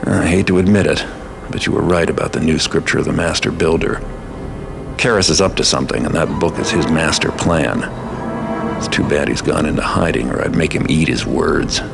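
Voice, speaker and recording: A self-serving, cynical master thief in a game cutscene. His voice is low and full of gravel, delivered with dry, blunt sarcasm. Music plays behind him. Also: fairly even intonation, brisk, heavily acted. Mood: grim, wry. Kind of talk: dramatic video game cutscene dialogue. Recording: background music under the voice.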